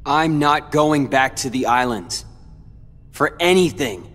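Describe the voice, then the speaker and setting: A brooding, bitter young rival. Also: male voice, mid-range voice, expressive. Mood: cold. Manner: cold, taunting, slow. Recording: game audio with loud music.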